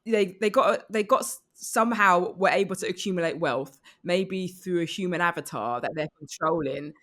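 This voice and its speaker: A woman riffing casually as a comedy podcast guest, thinking aloud in a halting way. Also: fairly low pitch, a bit bright.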